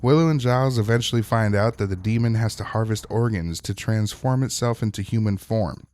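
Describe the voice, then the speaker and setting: A man's voice: on the low side, brisk, a little mellow. A man hosting a scripted recap and review of a TV episode, narrating in a wry, opinionated way. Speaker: host of a TV episode recap show.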